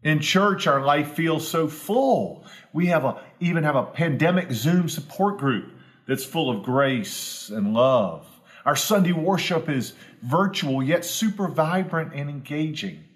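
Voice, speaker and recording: Slow, earnest pastor in his forties. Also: a little high, lively intonation. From Southwest Virginia, US. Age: 40s. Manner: earnest, homespun, building to emphatic repetition. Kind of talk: reflective devotional talk.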